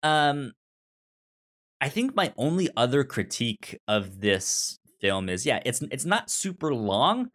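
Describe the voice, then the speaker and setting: Slow, halting male podcast co-host. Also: medium pitch, big pitch swings, a bit bright. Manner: halting, repeats words while thinking aloud. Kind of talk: casual film discussion.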